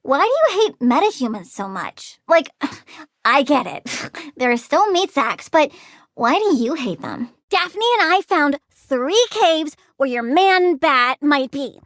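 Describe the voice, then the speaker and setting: A woman's voice: high-pitched, big pitch swings, slow pace, fairly bright tone, dry recording, clean studio sound, full character voice. A woman voicing a childlike young plant-powered villain girl in a video game, with a small girlish voice and a petulant, dramatic, bluntly odd manner. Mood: chatty, curious. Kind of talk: video game character acting.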